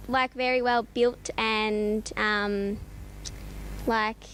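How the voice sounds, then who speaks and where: Slow, high-voiced young girl. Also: little pitch movement, a bit bright, a little hiss or hum, low-quality recording. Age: about 12 to 13. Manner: halting, searching for words. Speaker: student interviewee.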